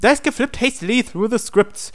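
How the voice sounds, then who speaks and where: A man's voice: very high voice, moderate pace. A man reading visual novel narration aloud as host of a game playthrough. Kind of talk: scripted narration read aloud.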